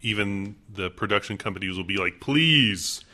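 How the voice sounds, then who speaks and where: A man's voice: on the low side, animated, normal speed, pushed and bright. A man co-hosting a casual podcast chat, reacting with short exclamations. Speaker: podcast co-host.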